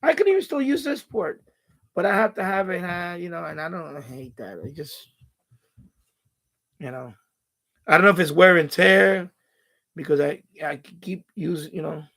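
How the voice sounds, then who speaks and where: A middle-aged man hosting a casual live stream, rambling and thinking aloud in a halting way. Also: sits high, animated, pushed and bright.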